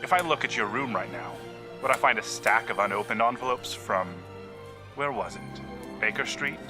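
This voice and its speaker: A man's voice: mid-range voice, expressive, normal speed, over music, lightly acted. An actor playing a reformed male vampire in an audio drama, familiar and humble in manner. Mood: teasing.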